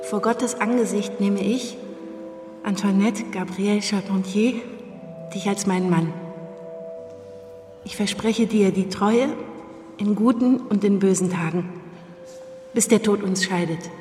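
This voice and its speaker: In a strongly echoing church hall with faint music, a woman plays a devoted young bride. She speaks very slowly and hopefully, as in a period radio play. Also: medium pitch, fairly even intonation, a little mellow, close to an everyday voice. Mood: solemn. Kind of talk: radio drama acting.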